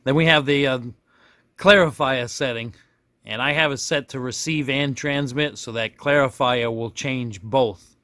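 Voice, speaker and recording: A man with a low-pitched voice walks through a hands-on demo in an unscripted, folksy way. He rambles a bit and pokes fun at his own slips. The sound is dry and close, with little room to it. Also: unhurried, fairly bright tone, no room sound. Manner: folksy, self-deprecating, rambling with mid-thought corrections. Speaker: product reviewer demonstrating a radio. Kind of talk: casual hands-on demonstration, unscripted.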